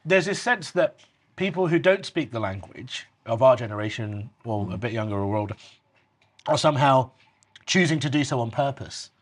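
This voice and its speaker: A man in his thirties hosting a relaxed chat over a meal, talking in a reflective way. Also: medium pitch, moderate pace. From England. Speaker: host.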